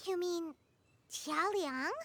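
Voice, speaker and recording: A woman voicing a tiny, excitable, childlike fairy companion with a squeaky voice in an anime-style game. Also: takes it slowly, gravelly, very noisy, heavily acted. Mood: puzzled, alarmed. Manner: exclamatory, refers to herself in third person.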